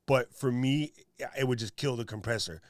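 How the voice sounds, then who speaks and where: Deep-voiced man, dry close sound. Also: moderate pace, no room sound. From Hawaii resident. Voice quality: deep radio voice. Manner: emphatic, punchy, laughs at his own points. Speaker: streaming show co-host. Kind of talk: casual tech discussion.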